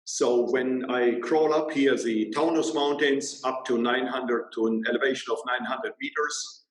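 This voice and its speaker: A man in his sixties with a German accent, answering as an interview guest in a measured way with frequent hesitations over a video call. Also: mid-range voice, steady tone. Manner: measured, with frequent ums and uhs. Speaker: guest, water brand owner. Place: bright room indoors. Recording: roomy echo.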